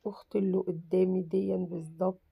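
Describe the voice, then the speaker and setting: A woman with an Egyptian Arabic accent, hoarse from a cold, casually talking through a simple craft tutorial and reassuring viewers that it is very easy. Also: on the low side, little pitch movement, fairly quick, no echo, highs cut off. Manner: chatty, reassuring, repeats "very easy". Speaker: crafts channel host.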